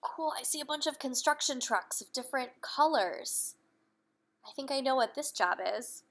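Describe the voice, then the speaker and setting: A woman reading a children's story aloud in an animated manner, pausing to put questions to young listeners. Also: high-pitched, a little hiss or hum. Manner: animated, addresses children directly. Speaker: picture-book reader.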